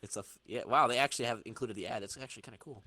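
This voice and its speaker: A man's voice: mid-range voice, very fast, pushed and bright, no room sound, clean studio sound. A man hosting a podcast, informally narrating a comic book in dramatic fashion with banter. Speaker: podcast host reading narration.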